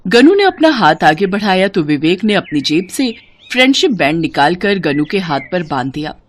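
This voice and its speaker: Lively woman narrating a moral story. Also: on the low side, moderate pace.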